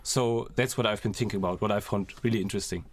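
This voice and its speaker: A man's voice: fairly low pitch, steady tone, quick pace, no echo. Young man co-hosting, dry close sound. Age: young adult. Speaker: student podcast co-host. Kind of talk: conversational podcast discussion. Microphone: close podcast microphone.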